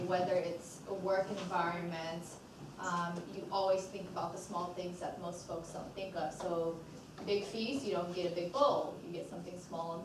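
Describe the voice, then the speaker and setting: A woman on a panel who answers in a calm, matter-of-fact way. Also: on the low side. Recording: echoey hall from far off, noisy.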